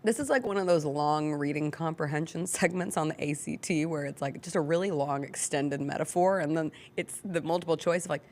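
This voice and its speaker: A woman's voice: low voice, brisk, few pauses, a little mellow. Wry woman hosting sports talk. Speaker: sports talk show host. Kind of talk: casual sports banter.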